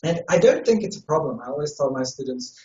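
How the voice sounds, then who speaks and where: A man's voice: a little high, expressive, fast, mellow. South African man, echoey and distant. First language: Afrikaans. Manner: thoughtful, explanatory, many restarts. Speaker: language tutor. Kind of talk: interview answers. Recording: distant, roomy, dull sound.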